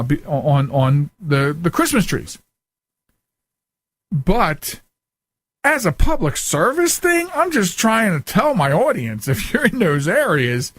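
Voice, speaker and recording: A man's voice: a little high, a bit bright, no echo. A middle-aged man hosting a talk show in a casual, rambling monologue, often interrupting himself and wandering off on asides. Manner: chatty, digressive, self-interrupting.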